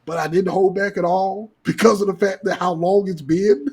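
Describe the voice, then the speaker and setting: A man with a husky voice telling a casual podcast story in slangy, profane talk, acting out the dialogue. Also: high voice, fairly even intonation, moderate pace, a little mellow. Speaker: podcast co-host.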